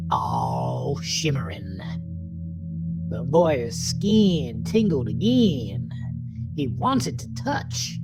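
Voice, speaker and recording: A woman narrating a horror story in a deliberate, theatrical manner with dramatic pauses. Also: very deep voice, rough recording. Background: music bed.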